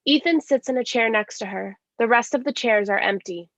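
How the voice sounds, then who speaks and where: A woman's voice: fairly high pitch, fairly quick, a bit bright, no room sound, muffled recording. A woman reading stage directions as scripted narration.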